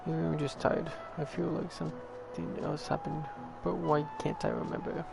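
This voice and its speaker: Creaky-voiced man reading game dialogue, fairly flat. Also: fairly high pitch, moderate pace. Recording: game music behind voice.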